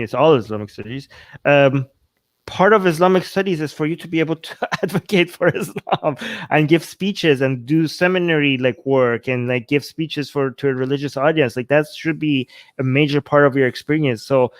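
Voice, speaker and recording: A man's voice: on the high side, fairly quick. A man from Iran, a native Persian speaker, gives casual political commentary as a host, rambling and often rephrasing himself. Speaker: talk show host.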